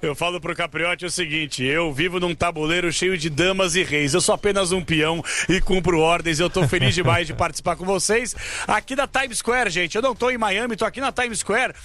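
A man reporting live for radio from a busy outdoor square, lively and joking as he chats with passers-by. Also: high-pitched, a little fast. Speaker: field reporter.

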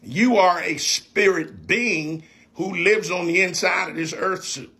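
Bright, high-voiced man preaching. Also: measured pace, vocal fry. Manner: emphatic, repetitive preacher cadence. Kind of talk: preaching in conversation.

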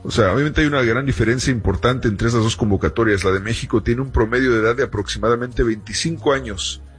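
A man's voice: mid-range voice, fast, soft background music. A man hosting a soccer talk show, speaking conversationally with frequent fillers and self-corrections. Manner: conversational, many fillers like "este" and "eh". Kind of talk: casual sports talk.

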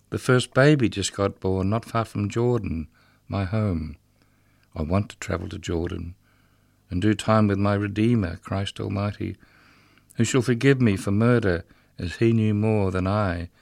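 Guy reading as a bitter condemned prisoner, low gravelly voice, sardonic, sing-song rhythm. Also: no echo, clean studio sound. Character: condemned man in a prison cell, bitter, mocking, haunted. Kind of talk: poetry reading.

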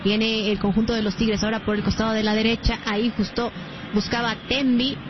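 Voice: medium pitch, level tone, fairly quick, dull audio, background noise. A commentator calling a live football match play by play, with frequent hesitant fillers between calls.

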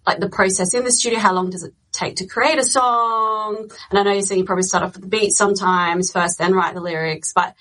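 A woman's voice: on the low side, fairly bright tone, rough recording. A woman hosting a radio interview, asking questions in a chatty way and backing up her guest with short replies. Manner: chatty, encouraging listener. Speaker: radio host.

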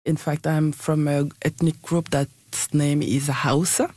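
A woman's voice: deep voice, little pitch movement, slow pace, a little mellow, husky, no room sound. A middle-aged woman from West Africa with a West African French accent, a native French speaker answering interview questions conversationally, searching for words. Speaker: interview guest, election candidate.

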